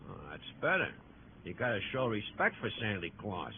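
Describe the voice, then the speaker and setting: An actor playing a weary, wry ex-convict dressed as Santa in an old radio drama, gruff and wisecracking. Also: male voice, lowish voice, very animated, moderate pace, a little hiss or hum. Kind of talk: 1940s radio acting. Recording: tinny old radio broadcast.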